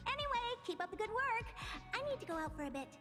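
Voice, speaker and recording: An aloof, bossy young genius from a game. Her very high voice bounces around with offhand self-assurance, over clear background music. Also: very animated, quick pace. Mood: breezy, commanding. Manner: imperious, offhand. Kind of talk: video game voice acting. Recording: music under the voice.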